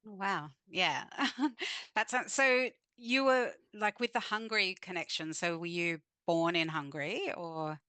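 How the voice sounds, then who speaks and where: A woman's voice: on the low side, measured pace, no echo. A woman hosting a podcast interview over a remote call, with a hesitant, filler-filled way of asking questions. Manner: warm, hesitant, lots of fillers. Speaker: podcast host. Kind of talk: casual interview. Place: in Sydney.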